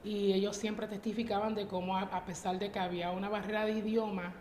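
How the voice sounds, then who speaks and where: A woman's voice: mid-range voice, little pitch movement, fast talker, darkish tone. A woman from the United States, speaking as an interview guest in casual, testimonial conversation. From Connecticut, United States. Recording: echoey live venue, light background noise.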